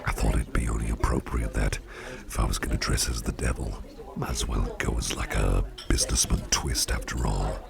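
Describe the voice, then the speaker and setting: A man voicing a gracious, devilish male boss in an ASMR roleplay, praising the listener in soft whispers. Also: a bass voice, flat delivery, moderate pace, creaky, a loud crowd, heavily acted. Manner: effusive, softly whispered praise.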